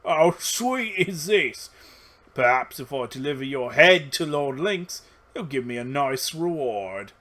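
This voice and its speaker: A man voicing a cruel, sneering male goblin boss in a fantasy game, drawing out his words slowly. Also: mid-range voice, animated, fairly bright tone. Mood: gloating. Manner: slow, drawn-out gloating delivery.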